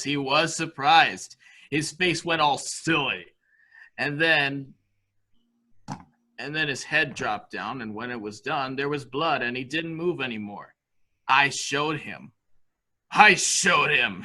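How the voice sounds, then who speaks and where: Unhinged boy-like murderer played by a man: highish, very sharp, gloating and repetitive, for an amateur mystery drama. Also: takes it slowly, full character voice. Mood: menacing, defiant. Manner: sing-song boasting with giggles and repeated phrases. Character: childlike, gloating, dangerously unhinged killer named John.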